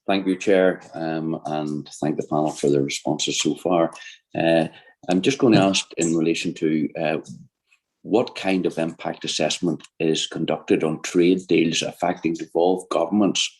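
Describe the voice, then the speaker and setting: A man with a Northern Irish accent, a committee member putting questions to a panel. He speaks deliberately, pausing often with "uh". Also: rumbling low, steady tone, husky, low-quality recording. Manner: deliberate, with frequent "uh" pauses. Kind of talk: formal questioning at a hearing.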